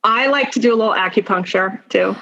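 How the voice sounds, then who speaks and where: A woman speaking as an interview guest in a conversational, informal way, thinking aloud in halting phrases with many asides. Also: mid-range voice, vocal fry, sharp tone. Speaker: podcast guest, attorney and executive. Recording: dull lo-fi sound.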